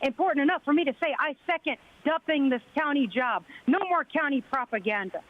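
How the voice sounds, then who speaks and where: A man's voice: extremely high pitch, brisk. A man calling in with a combative, accusatory public comment. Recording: tinny phone line.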